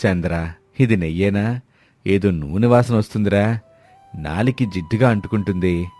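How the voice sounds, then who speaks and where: Casting: male actor as older landlord uncle, low voice, dry close recording, blunt scolding delivery, moral-story drama with music underneath. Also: normal speed, dark tone, no room sound, natural voice. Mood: suspicious, displeased.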